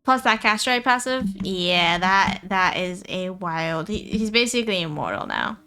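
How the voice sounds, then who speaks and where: A woman's voice: fairly low pitch, sing-song, a little slow, pushed and bright, clean tone. A woman live streamer chatting casually about a game, often repeating phrases for emphasis. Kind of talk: casual gaming stream chat.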